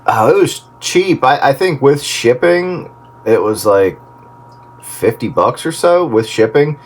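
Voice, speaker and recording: A man's voice: mid-range voice, lively intonation, unhurried. Man chatting over a very noisy CB radio. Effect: two-way radio. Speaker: host of a radio hobbyist round-up. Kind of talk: casual chat over CB radio.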